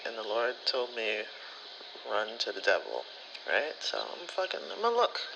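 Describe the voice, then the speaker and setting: A man's voice: mid-range voice, wildly swooping pitch, normal speed, gravelly, dull audio, noisy background. A man in a casual ASMR storytelling style, rambling and slangy, with profanity and acted-out bits of dialogue. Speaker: spiritual content creator.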